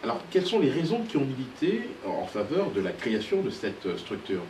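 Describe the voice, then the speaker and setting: Man, talk show host, lively pitch, courteous, short acknowledgements, roomy distant sound, audible noise. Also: fairly quick. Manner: courteous, frequent short acknowledgements, hesitant fillers. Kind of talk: interviewing guests in a studio debate show.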